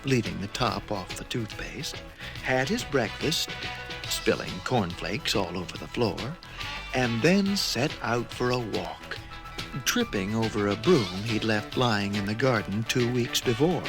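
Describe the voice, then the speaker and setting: Male storyteller, very expressive, gravelly creak, medium pitch, dramatic pauses, read-along record with music. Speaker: storybook narrator. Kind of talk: scripted children's story narration.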